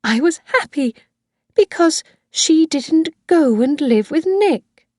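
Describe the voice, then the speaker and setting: A frail elderly mother, possessive and obsessed with her son, as a woman reader puts her on. She speaks high and pleading, clinging to every word. The recording is dry and close. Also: animated, takes it slowly, a little mellow, dry recording. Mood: confessing, fervent.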